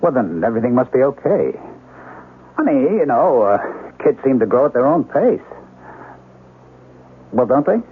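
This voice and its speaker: A man's voice: mid-range voice, big pitch swings, moderate pace, pauses often, husky. An actor playing a meek, anxious salesman and new father in a radio drama, hesitant and deferential, often stammering. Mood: worried. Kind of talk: 1970s radio drama acting. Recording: noisy, tinny old radio broadcast.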